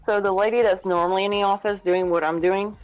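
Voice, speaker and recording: A man's voice: high-pitched, fairly even intonation, smooth, faint music behind, poor audio. Man with a light, feminine-sounding voice. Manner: chatty, quick to correct himself. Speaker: livestream co-host. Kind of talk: casual chat.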